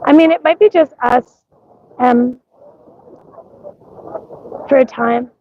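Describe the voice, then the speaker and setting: A woman's voice: sits high, slow, lo-fi sound. A woman with a mixed accent and a scratchy voice from coughing, livestreaming outdoors at a street parade and rambling with many ums. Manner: rambling, many ums, greets viewers by name. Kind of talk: casual livestream chat.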